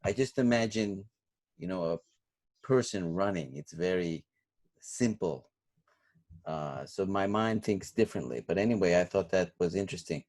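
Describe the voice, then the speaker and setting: Man, instructor, first language Turkish, low voice, chatty, lots of fillers. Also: expressive, moderate pace, darkish tone. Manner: rambling, thinks aloud with many fillers. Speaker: course instructor. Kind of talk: classroom feedback and discussion.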